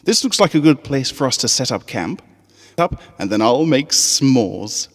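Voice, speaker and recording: A man voicing a kindly but firm grown mare who teaches school, gently instructing, in a story reading. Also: mid-range voice, big pitch swings, unhurried, big-room sound, pitched above the performer's own voice. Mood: cheerful.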